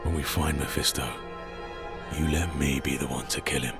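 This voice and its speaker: An actor playing a grieving, brooding warrior in a fantasy game, restrained and somber. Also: male voice, rumbling low, fairly even intonation, moderate pace. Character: somber, grieving male warrior bent on revenge. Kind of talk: dramatic fantasy game acting. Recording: loud music under the voice.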